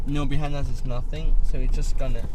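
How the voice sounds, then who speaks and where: A man's voice: mid-range voice, level tone, fairly quick. A man vlogging from inside a moving car, talking in a chatty, rambling way with many restarts. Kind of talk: casual commentary while riding in a car. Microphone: handheld camera. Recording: loud road traffic noise.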